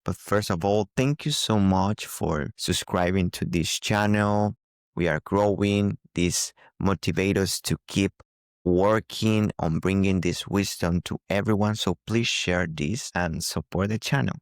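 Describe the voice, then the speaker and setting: Man, very low voice, non-native English speaker, close dry sound, didactic spiritual teacher. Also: measured pace, no echo. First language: not English. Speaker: channel host and spiritual teacher. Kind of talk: spiritual teaching talk to viewers.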